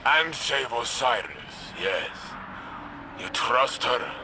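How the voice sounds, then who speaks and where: Wary, terse soldier. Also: male voice, medium pitch, big pitch swings, slow pace, background noise. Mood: suspicious. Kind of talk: video game cutscene dialogue.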